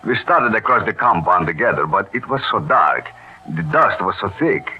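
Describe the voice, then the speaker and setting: An actor playing a smooth, evasive villainous doctor in an old radio drama, speaking with deliberate formality. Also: male voice, lowish voice, animated. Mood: defensive. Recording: muffled, lo-fi old radio recording.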